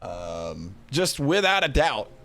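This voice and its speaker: A man giving casual, rambling livestream commentary, thinking aloud in an informal, halting way. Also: medium pitch, big pitch swings, fairly bright tone, slight background noise. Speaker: streamer hosting a tier list of games.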